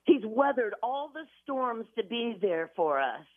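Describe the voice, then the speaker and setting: A woman's voice: a little high, sing-song, a little slow, no echo. Female caller, scratchy voice, effusive and affectionate, tinny phone line. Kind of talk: phone call to radio show.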